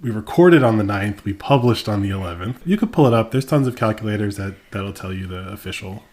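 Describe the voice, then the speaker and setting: A man's voice: on the low side, expressive, a little fast, darkish tone. A man co-hosting a casual podcast with his wife, speaking in a dry, matter-of-fact way. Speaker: podcast co-host. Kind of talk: casual chat.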